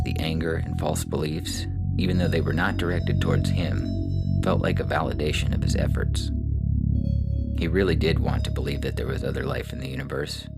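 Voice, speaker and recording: A man reading science fiction stories as scripted narration, in a measured, deliberate way with a gravelly voice. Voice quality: gravelly, very low voice. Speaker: podcast reader and short story author. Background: music under the voice.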